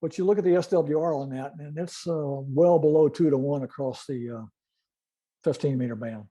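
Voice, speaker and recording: An older man giving an informal technical presentation and demonstration, explaining methodically in a halting way. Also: fairly high pitch, warm tone. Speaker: club presenter, retired-style veteran telephony engineer.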